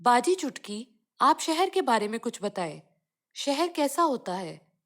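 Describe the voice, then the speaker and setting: Woman as a stubborn, high-voiced village boy. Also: big pitch swings, unhurried, fairly bright tone, exaggerated voice. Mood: curious.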